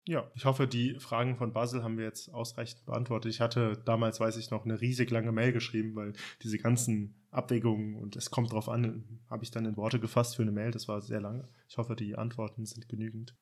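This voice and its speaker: A man's voice: medium pitch, flat delivery, a little fast, few pauses, a little mellow. A man who works as an air traffic controller, explaining his work in casual podcast chat with hedged, practical detail.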